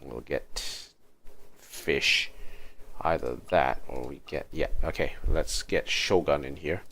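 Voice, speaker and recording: Very deep-voiced man muttering over gameplay. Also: slow pace, a bit bright, slight background noise. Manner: muttering, thinking aloud. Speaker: game streamer. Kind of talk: casual livestream gameplay commentary.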